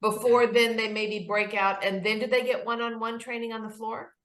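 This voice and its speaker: A woman's voice: a little high, little pitch movement, normal speed, fairly bright tone. Woman podcast host, roomy echo. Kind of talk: conversational interviewing. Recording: roomy, echoing sound.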